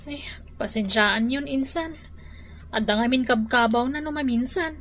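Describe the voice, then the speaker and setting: An actress playing a patient adult daughter, soothing and gently scolding in a radio drama. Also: female voice, fairly high pitch, unhurried, slight background noise. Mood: apologetic. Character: patient adult daughter calming her grumpy father. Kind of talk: Filipino radio drama acting.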